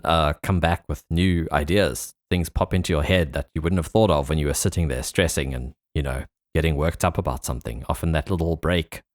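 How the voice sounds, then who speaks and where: A man's voice: rumbling low, dry recording, studio quality. A man hosting a podcast in casual interview chat, attentive and agreeable. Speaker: podcast host.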